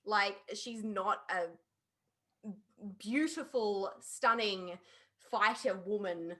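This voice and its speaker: A woman's voice: medium pitch, slow pace, pushed and bright. Woman giving emphatic, casual opinions. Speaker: podcast panelist. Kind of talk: casual opinionated review chat.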